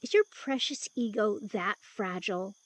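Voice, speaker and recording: A woman's voice: mid-range voice, normal speed, lightly acted, poor audio. A woman playing an eager, playful, stubborn young woman in an intimate audio drama, perky and insistent. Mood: miffed.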